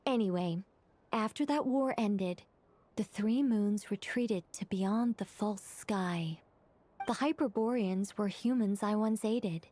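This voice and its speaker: An actress playing an ageless angel mage in an anime game, wordy and formal, given to rambling and correcting herself. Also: female voice, medium pitch, a little mellow. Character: Nicole, ageless angel mage, rambling, scholarly, easily flustered. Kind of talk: anime game character acting, expository dialogue.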